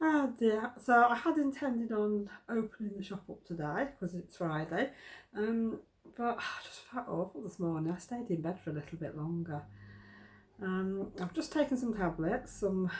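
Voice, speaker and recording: Woman with a head cold. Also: medium pitch. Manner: chatty, homely, plain-spoken. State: congested with a cold. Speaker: vlogger, craft shop owner. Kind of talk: casual chat to camera. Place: home kitchen, indoors.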